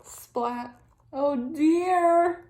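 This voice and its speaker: Echoey, high-voiced woman reading a storybook. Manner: animated, deliberate phrasing with dramatic sound-effect words. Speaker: teacher reading to children. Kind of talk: expressive children's storybook read-aloud. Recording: echoey room sound.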